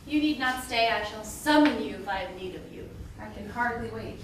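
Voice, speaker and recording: A haughty queen of the dead, acted by a woman in a drama, with a high voice that sweeps up and down. She speaks formally and gives orders with cool condescension. The recording is noisy, with heavy echo. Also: lively intonation, fairly quick, lightly acted. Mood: coolly condescending. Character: imperious, coolly condescending goddess queen of the underworld. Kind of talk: theatrical drama acting. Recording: distant, very echoey, noisy room recording.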